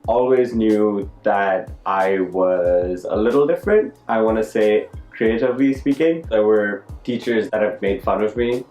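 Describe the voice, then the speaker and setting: Young male vlogger, low voice, casual personal storytelling, roomy sound with music underneath. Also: lively intonation, measured pace, few pauses, darkish tone. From Dubai. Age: young adult. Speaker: YouTube art vlogger. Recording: roomy echo, music behind.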